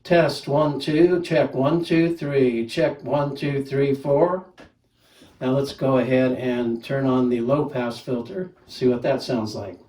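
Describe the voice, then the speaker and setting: A man's voice: mid-range voice, fairly even intonation, slow pace, dark tone, roomy sound, far from the mic, low-quality recording. A man casually reviewing a microphone, talking plainly and counting off mic tests. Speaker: gear reviewer. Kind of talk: casual product demo with mic checks.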